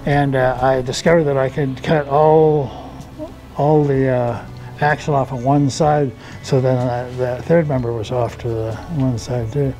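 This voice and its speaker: A man's voice: medium pitch, fairly even intonation, unhurried, dark tone, faint music behind, rough recording. An American man in his seventies reminiscing casually in a folksy storytelling way, often adding "you know". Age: 70s. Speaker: off-road enthusiast recounting memories. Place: outdoors on a driveway by an open garage.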